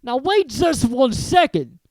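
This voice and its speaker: A reader voicing a huge, hot-tempered male giant from a storybook, who talks in a loud, gruff rural dialect. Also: high voice, sing-song, normal speed, no room sound, voice pushed up. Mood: outraged. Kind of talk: audiobook character reading.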